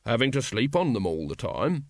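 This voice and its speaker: A man's voice: mid-range voice, big pitch swings, moderate pace, no echo, studio quality. A man voicing a stolid, ponderous royalist know-all, lecturing in an audiobook.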